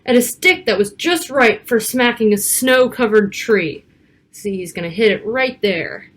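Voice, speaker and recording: A woman reading a picture book aloud to children, expressive, with casual asides about the pictures. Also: fairly high pitch, measured pace. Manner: child-directed, expressive, stretching key words and pointing out pictures.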